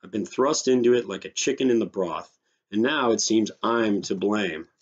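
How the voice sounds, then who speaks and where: A man's voice: fairly low pitch, moderate pace, breathy edge, muffled recording. A man voicing an indignant, sarcastic grown man nursing a grievance, in a scornful, snorting storybook reading. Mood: sarcastic, offended.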